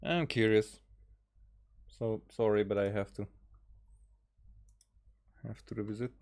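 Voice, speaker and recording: Woman game streamer, really low voice, casual halting muttered reactions. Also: fairly even intonation, a little mellow. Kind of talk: casual reaction commentary over gameplay.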